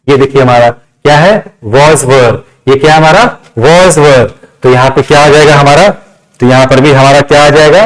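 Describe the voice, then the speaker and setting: A man's voice: fairly high pitch, poor audio. A man teaching a grammar lesson step by step, repeating phrases and asking himself check questions. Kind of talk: classroom lesson with step-by-step explanation.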